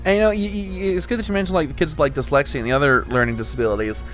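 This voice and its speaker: A man's voice: on the high side, steady tone, rapid-fire, a bit bright, soft background music, rough recording. A young man hosting a casual podcast, talking in rambling, restart-heavy stretches with some swearing. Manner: rambling, full of restarts and profanity. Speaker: podcast host.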